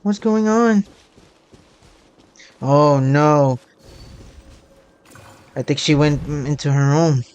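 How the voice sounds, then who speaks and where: A streamer gives casual reaction commentary on a game. The voice is mid-pitched and very slow, halting while thinking aloud. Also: a little mellow, clean tone, dull audio.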